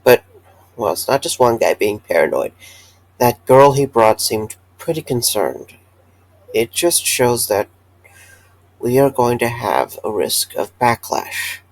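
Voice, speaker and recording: A man's voice: medium pitch, expressive, slow pace, rough recording. An actor playing a tired, earnest young man who wants to reopen a pizzeria, talking with hesitant fillers. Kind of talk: fan audio drama acting.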